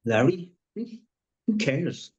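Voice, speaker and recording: An actor playing a blunt, sardonic office colleague in a live screenplay table read. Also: male voice, fairly high pitch, sing-song, drawn-out words, a little mellow, husky, lo-fi sound, full character voice. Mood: dismissive.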